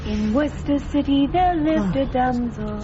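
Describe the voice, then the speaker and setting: A woman's voice: high-pitched, measured pace, lo-fi sound, over music. A woman acting in a radio drama as a deferential fifteen-year-old servant girl from past times. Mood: dreamy, entranced.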